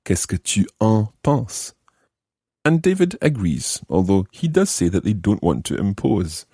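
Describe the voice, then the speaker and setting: Male podcast tutor, deep and slightly husky, lively intonation, explains phrase by phrase. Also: slow, no room sound, clean studio sound. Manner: clear step-by-step explaining, repeating phrases. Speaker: language lesson host. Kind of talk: scripted explanatory teaching narration.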